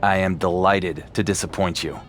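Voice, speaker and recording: A man's voice: low-pitched, fairly even intonation, normal speed, a bit bright, soft background music. A man playing a defiant, cocky male wrestling champion in a video game cutscene, with a dry delivery.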